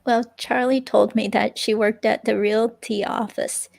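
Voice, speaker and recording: A woman reading the part of an evasive, unsure ex-girlfriend in a police interview, with a creaky, hesitant, rambling delivery. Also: on the high side, level tone, unhurried, a little mellow. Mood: hesitant, vague. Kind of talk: dramatic reading of an interview transcript, conversational.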